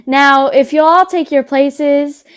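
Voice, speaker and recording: A woman reading the part of a brisk reality TV host in readers theater. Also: high voice, steady tone. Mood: presiding.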